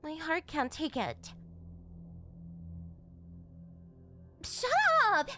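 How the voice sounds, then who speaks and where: A woman's voice: high voice, animated, measured pace, a bit bright, faint music behind. A woman voicing a shy, flustered tsundere young girlfriend in an anime-style ASMR roleplay, defensive and stammering, with a whiny edge. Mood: embarrassed tsundere.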